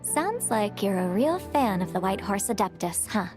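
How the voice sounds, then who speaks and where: A woman playing a gentle, polite young woman in a video game, with a courteous manner. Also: medium pitch, sing-song, moderate pace, lightly acted. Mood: warm, thoughtful. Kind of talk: video game character acting. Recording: game music behind the voice.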